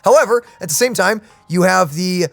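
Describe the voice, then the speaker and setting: A woman's voice: on the low side, animated, normal speed, creaky, dry recording, soft background music. A woman streaming a game and giving casual, conversational reaction commentary.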